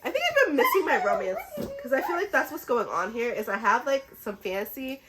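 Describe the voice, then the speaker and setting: A woman's voice: fairly high pitch, sing-song, brisk, very noisy. A woman who is a little sick chats casually and enthusiastically to camera as a book vlogger, in an informal way.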